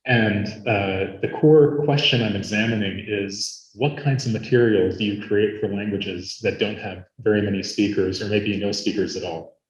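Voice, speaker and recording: Man, Arizona-raised, native Latvian, lowish voice, lecturing, echoey hall. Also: highs cut off. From Arizona, United States. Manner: measured, with frequent fillers. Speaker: academic speaker. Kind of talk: conference lecture.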